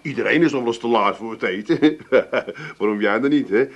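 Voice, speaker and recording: An actor playing a flustered, plaintive grown son with an Amsterdam accent in a radio comedy. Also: male voice, mid-range voice, a little fast, highs cut off, lightly acted. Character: hapless, whiny grown son, easily upset.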